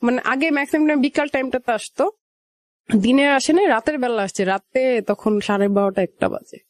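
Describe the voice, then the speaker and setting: A woman's voice: high-pitched, fairly quick, no echo. Young Bangladeshi woman with a dry, close sound. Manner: conversational, quotes past dialogue as she narrates. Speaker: guest telling own life story. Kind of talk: personal storytelling in a radio interview. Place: radio studio.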